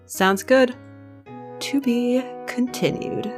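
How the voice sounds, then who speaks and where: A woman's voice: fairly high pitch, slow, faint music behind. A woman playing a blasé, teasing comic book editor in audio drama banter, with flippant, dry humour. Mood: weary, easygoing.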